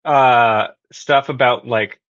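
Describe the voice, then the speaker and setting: A man's voice: mid-range voice, fairly even intonation, takes it slowly, crowd noise. Man guest on slightly dull audio. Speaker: podcast guest. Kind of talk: casual fan-theory discussion.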